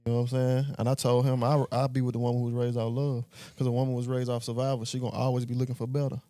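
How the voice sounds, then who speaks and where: A man's voice: mid-range voice, little pitch movement, rapid-fire, mellow, dry recording. A man in casual podcast chat, blunt and full of slang. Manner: frequent short agreements, blunt slang. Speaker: podcast guest.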